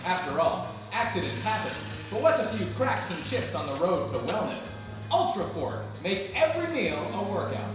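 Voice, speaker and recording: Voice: medium pitch, sing-song, moderate pace. A performer playing a peppy, overblown announcer in a parody old-time radio advertisement, with salesy enthusiasm. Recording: echoey live hall, distant mic, music behind.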